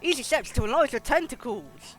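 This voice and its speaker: A streamer giving live game commentary and reading game text aloud in an animated, giggly way, with casual swearing. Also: high voice, a little fast. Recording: music behind the voice. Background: game music.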